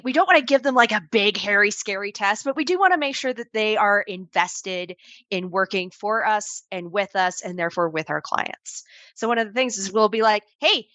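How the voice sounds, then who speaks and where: A woman's voice: medium pitch, bright, forceful tone, no echo. A woman on a video call giving conversational advice on training assistants, often acting out quoted examples. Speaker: panel guest advising on assistant training.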